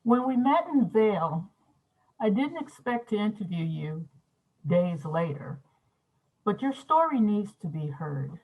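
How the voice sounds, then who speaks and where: A woman's voice: medium pitch, big pitch swings, takes it slowly, a little mellow. A woman playing a considerate, earnest journalist in a screenplay table read. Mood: polite, earnest. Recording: thin, phone-like band-limited sound.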